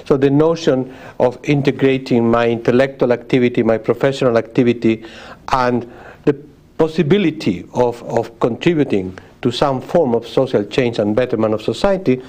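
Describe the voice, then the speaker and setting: Spanish-accented man, measured and thoughtful. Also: medium pitch, dark tone. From Spain. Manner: thoughtful, with frequent pauses and restarts. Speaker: guest, sociologist. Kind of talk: interview conversation.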